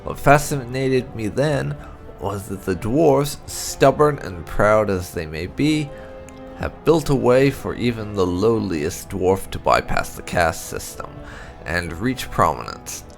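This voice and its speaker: A man narrating fantasy lore from a script in a measured storyteller's manner. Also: on the low side, lively intonation, gravelly, faint music behind. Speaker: gaming channel host reading game lore aloud.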